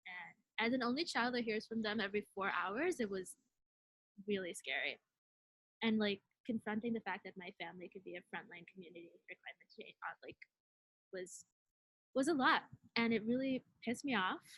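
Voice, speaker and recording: A woman's voice: mid-range voice, animated, normal speed, fairly bright tone, husky, no echo, low-quality recording. A woman in her twenties from India, introducing herself as a delegation co-leader in an earnest, conversational webinar talk with frequent fillers.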